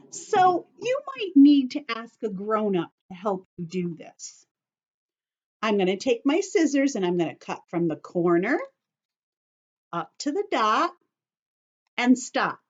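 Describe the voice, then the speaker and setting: Woman teaching children, slow and very animated. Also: fairly high pitch. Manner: patient, simple, sing-song explaining to young children; checks in with questions to listeners. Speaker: children's teacher hosting an online story time. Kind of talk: children's craft and science lesson, step by step. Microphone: computer's built-in camera and microphone. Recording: dull lo-fi computer microphone.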